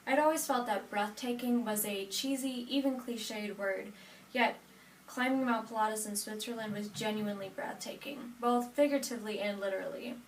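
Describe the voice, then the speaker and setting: A young American woman, about eighteen, giving a prepared personal narrative speech from notes. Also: medium pitch. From United States, Nebraska. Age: 18, young adult. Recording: echoey room, distant mic, faint noise.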